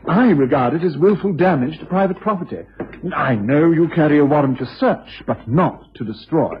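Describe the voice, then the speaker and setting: An actor playing a cool, self-assured gentleman crook in a vintage British radio drama, with an upper-class English accent and smoothly condescending phrasing. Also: a little high, animated, moderate pace, natural voice. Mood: indignant, ironic. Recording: muffled old radio broadcast with hiss.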